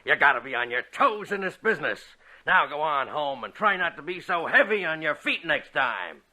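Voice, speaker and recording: A brusque gym trainer from an old 1940s radio comedy. His voice sits high and swoops widely as he barks bossy instructions, with a bright, forceful edge. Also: sing-song, normal speed, heavily acted. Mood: exasperated, bossy. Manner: barking, emphatic instructions.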